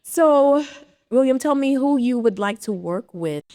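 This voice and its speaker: A woman hosting an interview podcast with a slightly raspy voice, affirming her guest often. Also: high voice, expressive, measured pace, mellow, dry recording, clean studio sound. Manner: encouraging, affirming. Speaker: podcast host. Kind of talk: casual interview chat.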